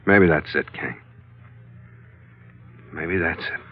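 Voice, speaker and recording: An actor playing a tough, wry, loyal ship's skipper in a hard-boiled classic radio drama. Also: male voice, low-pitched, slow. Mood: troubled, probing. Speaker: lead actor and first-person narrator. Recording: dull vintage radio recording with music.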